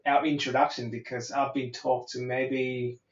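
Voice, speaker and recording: A man's voice: medium pitch, flat delivery, unhurried. Man, Vietnamese accent mixed with American and Aussie, casual frank chat, echoey room, dull audio. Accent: Vietnamese, mixed American and Australian. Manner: frank and loose, swears casually, thinks aloud with restarts. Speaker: English conversation tutor.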